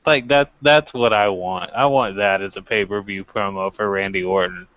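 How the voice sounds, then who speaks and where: A man's voice: lowish voice, fairly even intonation, moderate pace, dry recording, lo-fi sound. A man chatting casually as co-host of a review show, joking crudely and acting out imagined quotes.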